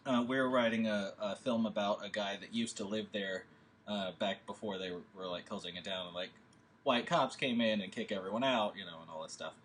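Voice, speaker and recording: A man's voice: fairly low pitch, brisk, a bit bright, slight background noise. A man leading a table read, chatting casually from home over a video call, rambling with lots of fillers and restarts. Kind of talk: casual chat.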